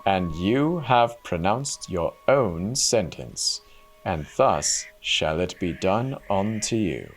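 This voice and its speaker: A man plays a stern and shrewd old king from a storybook. He speaks low and slow, measured and commanding. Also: very animated, faint music behind, exaggerated voice. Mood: stern judgement. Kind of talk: dramatic storybook reading.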